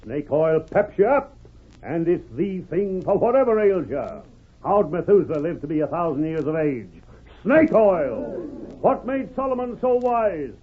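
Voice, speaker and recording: An actor playing a sly, folksy medicine-show huckster with bombastic, drawling patter in an old radio western. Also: male voice, high-pitched, big pitch swings, a little slow, slight background noise. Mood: bombastic pitch. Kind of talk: 1940s radio western acting. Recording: old tinny narrow-band radio recording.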